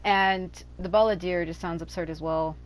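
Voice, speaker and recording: A woman's voice: fairly low pitch, steady tone, normal speed, low-quality recording. A woman hosting a gaming channel, talking casually over her gameplay with rambling, joking asides. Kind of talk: casual let's-play commentary. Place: hot room at home.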